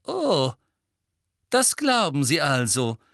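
A man voicing a coldly authoritarian middle-aged female teacher in an audiobook, with a honey-sweet, condescending, deliberate delivery. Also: fairly high pitch, big pitch swings, no echo, studio quality, voice pushed up.

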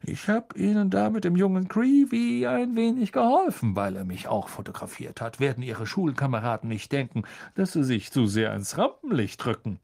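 A reader voicing a vain, pompous male teacher in a storybook, booming and condescending. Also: huge pitch swings, unhurried. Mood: patronizing.

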